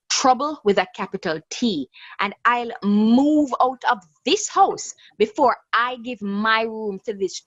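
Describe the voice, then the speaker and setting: A woman's voice: on the low side, no room sound, highs cut off, lightly acted. A woman playing a bitter, angry grown daughter in a radio drama, mocking and confrontational.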